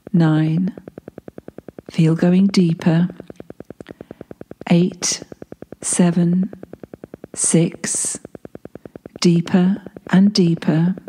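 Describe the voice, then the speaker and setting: Very slow, mellow woman guiding meditation. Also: on the low side, a little hiss or hum, rough recording. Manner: calm, soothing, unhurried with long pauses. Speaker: guided meditation instructor and life coach. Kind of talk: guided meditation narration. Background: soft healing-frequency sound.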